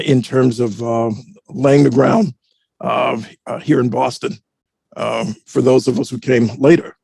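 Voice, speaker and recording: A man speaking as a reporter on a discussion panel, reflective, with deliberate, halting, measured phrasing. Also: mid-range voice, vocal fry, dark tone, husky, no room sound.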